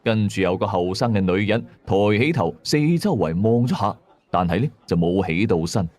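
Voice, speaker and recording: A man's voice: fairly low pitch, expressive, normal speed, a little mellow. A man with a Cantonese accent narrating a scripted first-person ghost story. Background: faint music.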